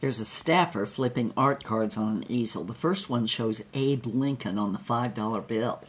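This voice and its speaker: Deep-voiced, brassy old screen queen. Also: moderate pace, slightly rough. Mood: wry reminiscing. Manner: wry, blunt, slangy. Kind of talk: conversational storytelling in an audio drama.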